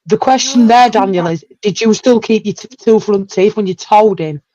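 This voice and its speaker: A woman's voice: mid-range voice, normal speed, mellow, no room sound, highs cut off. A woman with a Yorkshire accent bantering in a cheeky, teasing way on a casual live stream.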